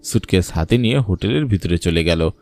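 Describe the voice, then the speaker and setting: A man's voice: low voice, animated, fairly quick, a little mellow. A man narrating a scripted cartoon horror story. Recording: background music, night insects.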